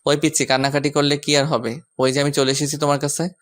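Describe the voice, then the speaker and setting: A man's voice: on the high side, level tone, fast talker, fairly bright tone, natural voice. A man playing a devoted, confident young lover in a romantic audio story, speaking firmly and protectively. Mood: reassuring.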